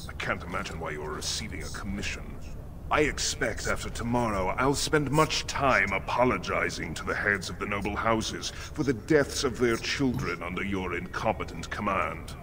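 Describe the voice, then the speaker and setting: An actor playing a pompous, domineering dwarf crown prince in a fantasy video game, condescending and commanding. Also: male voice, sits low, animated, moderate pace, sharp tone, a little hiss or hum. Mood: contemptuous.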